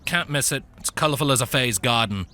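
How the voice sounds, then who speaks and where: A man's voice: medium pitch, brisk, sharp tone, close to an everyday voice. A drowsy, slouching male guard from a fantasy storybook, put on by a male narrator. He drawls with boredom and hesitates as he talks, sounding barely interested. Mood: bored, helpful.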